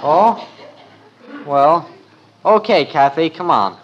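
An actor playing an eager, boastful American schoolboy, excitable and insistent, in a 1950s radio sitcom. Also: male voice, a little high, takes it slowly, dull audio. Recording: very noisy old radio recording.

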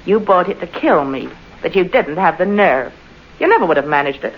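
An actress playing a scornful, domineering wife in an old-time radio drama, mocking, commanding and theatrical. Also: female voice, lowish voice, very animated, a little fast, muffled recording. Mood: contemptuous, menacing. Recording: noisy vintage radio recording.

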